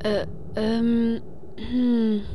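A woman's voice: fairly high pitch, little pitch movement, drawn-out words, warm tone. A woman acting a chatty, vain, organizing adventurer in a comedic fantasy game audio drama. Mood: defensive.

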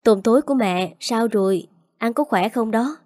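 A woman voicing a gentle young working mother who speaks politely and tenderly in an audio story. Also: on the high side, moderate pace, no room sound, clean studio sound, close to an everyday voice.

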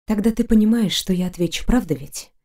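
A woman's voice: medium pitch, fairly quick, darkish tone, lightly acted. Woman playing an earnest, sorrowful young fairy woman. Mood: sad. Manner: pleading, emotional questioning. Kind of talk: audiobook character voice.

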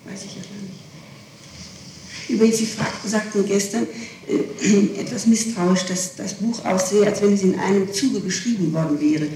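A woman answering questions in an interrogation, haltingly and insistently, often repeating and correcting herself over small details. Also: medium pitch. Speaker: person under police questioning. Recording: echoey, distant, noisy old tape.

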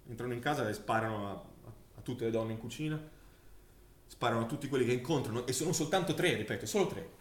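Man acting grim massacre survivor, halting testimony, stage drama, emphatic repetition, echoey room, background hiss. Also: on the low side, natural voice. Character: male survivor giving grim eyewitness testimony of a wartime massacre. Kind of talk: theatrical documentary monologue.

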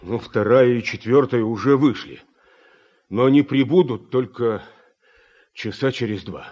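An actor playing an earnest Soviet party secretary in a radio drama, speaking in a measured, official yet sincere way. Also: male voice, medium pitch, a little mellow, lightly acted. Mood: sober. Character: earnest Soviet party secretary rallying exhausted farm workers.

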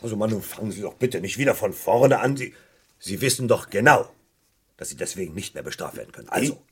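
An actor playing a stern, impatient British police interrogator in a German radio crime play, with a commanding, sarcastic way of questioning. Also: male voice, lowish voice, expressive, fast talker, husky, exaggerated voice. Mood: exasperated.

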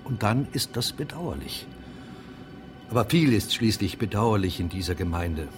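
An actor playing an angry, bullying middle-aged mayor in a German radio drama, scornful and commanding. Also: male voice, lowish voice, lively intonation, normal speed, faint music behind, natural voice. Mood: bitter, grim.

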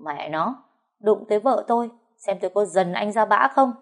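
A woman's voice: lowish voice, animated, normal speed, a little mellow. A woman voicing a hot-tempered young husband who protects his wife, speaking in harsh, clipped bursts. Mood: furious.

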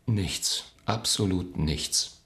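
An actor playing a dutiful, formal English assistant in a radio drama, polite, precise and understated. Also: male voice, deep voice, drawn-out words, fairly bright tone, breathy edge, no room sound, full character voice. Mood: restrained. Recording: prominent music behind the voice.

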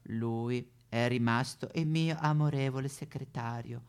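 A man's voice: medium pitch, fairly even intonation, measured pace, smooth, close to an everyday voice. A man playing an elderly retired German pope in a satirical stage monologue, with a German accent, mock-solemn sing-song preaching and petulant complaints. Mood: wistful, deadpan. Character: elderly retired German pope, whiny, pious but foul-mouthed.

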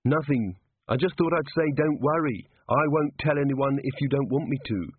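A man's voice: mid-range voice, normal speed, husky, narrow, tinny audio, voice pushed up, lightly acted. A man voicing a wry, reassuring policewoman in a fantasy storybook reading. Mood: calm, reassuring.

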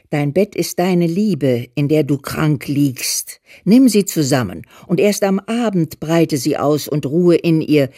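A woman's voice: low voice, mellow, no echo, clean studio sound, exaggerated voice. An actress playing a plain-spoken elderly mother who reads her fond, scolding letters in a radio play, earthy and emphatic. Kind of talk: dramatised letter reading in a German radio play.

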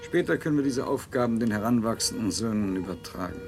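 An actor playing a cold, calculating colony administrator with a dry, matter-of-fact delivery in a radio play. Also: male voice, lowish voice, lively intonation, quick pace, over music. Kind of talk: 1970s German radio play acting.

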